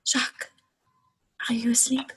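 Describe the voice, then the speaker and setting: A woman's voice: a little high, little pitch movement, unhurried, slightly rough. A young woman playing a plaintive, questioning girl, an older sister, in a radio play. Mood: subdued. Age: young adult.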